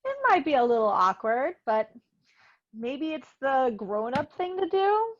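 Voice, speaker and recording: A woman's voice: sits high, sing-song, unhurried, full character voice. A woman playing a cool, guarded, sardonic young woman in a screenplay table read, on a dull lo-fi microphone.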